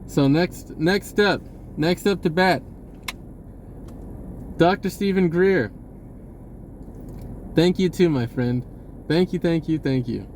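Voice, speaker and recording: A man speaks in a loose, rambling vlog style. His voice sits a little high and moves very slowly, often stopping partway through a thought. A steady noise runs behind him. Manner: halting, pausing mid-sentence. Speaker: vlogger. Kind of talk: casual rambling monologue to camera.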